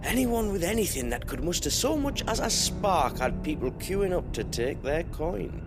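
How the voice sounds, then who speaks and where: Shifty trader over music. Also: male voice, sits high, moderate pace. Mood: wry, candid. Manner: colloquial, hedging, excitable. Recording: music behind the voice.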